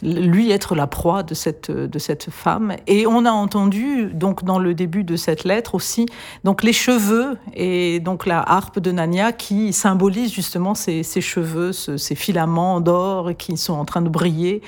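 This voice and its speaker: A woman's voice: fairly low pitch, measured pace, darkish tone. A female singer in an interview who talks thoughtfully and articulately, with some hesitations. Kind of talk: interview explanation.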